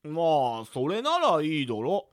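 A woman's voice: low voice, wildly swooping pitch, unhurried, exaggerated voice. Woman doing a lazy, swooping mud monster. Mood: sluggish. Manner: grumbling, oafish verbal tic ending sentences. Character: lazy, sluggish grumbling mud monster, male. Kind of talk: anime-like radio drama acting.

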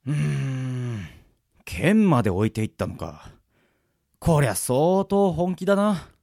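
An actor playing an easygoing, roguish fencing instructor in a radio drama, smoothly polite and laid-back, with music behind him. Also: male voice, mid-range voice, big pitch swings, takes it slowly, dark tone, no room sound, studio quality, full character voice. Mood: thoughtful. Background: background music.

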